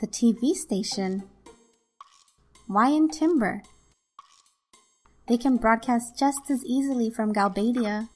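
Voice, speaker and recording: A woman's voice: fairly high pitch, slow, pauses often, faint music behind. A woman voicing an earnest, assertive young resistance leader in an amateur video game dub. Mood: questioning, impatient.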